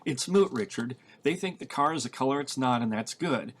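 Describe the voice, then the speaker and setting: A man's voice: medium pitch, expressive, moderate pace, a little hiss or hum, lightly acted. A blunt, tough-talking woman on the run, read by a man in a storybook reading. She is exasperated and sarcastic, snapping out her points in a mid-range male register. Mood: impatient.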